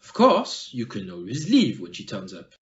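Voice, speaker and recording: A man performs a shrewd, courteous detective in an audio drama. His speech is formal and pointed, with a lively, swinging melody. The recording is a little dull and muffled. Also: on the low side. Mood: pointed, reproving. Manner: formal, measured, pointed phrasing. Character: polite, shrewd, blunt male Belgian detective. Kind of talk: amateur audio play acting.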